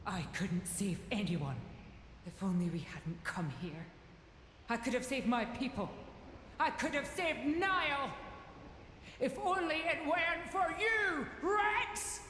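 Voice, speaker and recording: A woman's voice: high voice, expressive, takes it slowly, a bit bright, heavily acted. A woman playing a stern, bitter military officer in a video game, accusing and bent on vengeance. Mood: anguished. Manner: cold accusation swelling into fury. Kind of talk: video game cutscene voice acting. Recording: echoey hall reverb, faint noise.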